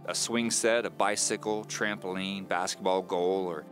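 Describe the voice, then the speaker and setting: A brooding, wry divorced man telling his story onto tape in a low voice. He is measured and confiding, in the manner of an audio drama monologue, with faint music underneath. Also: steady tone, fairly bright tone. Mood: wry, observant.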